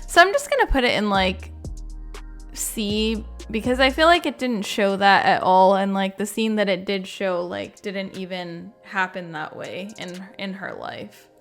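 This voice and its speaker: A woman giving chatty, informal commentary as a video creator, saying "like" often. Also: mid-range voice. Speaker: video game content creator. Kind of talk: casual commentary ranking game cutscenes.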